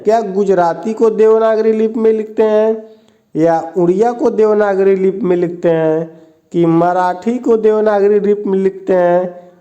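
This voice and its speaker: A man's voice: high-pitched, dark tone, lo-fi sound. A man teaching an exam prep lesson, reading each question and its options, then repeating the answer back as a question. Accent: Hindi, north Indian.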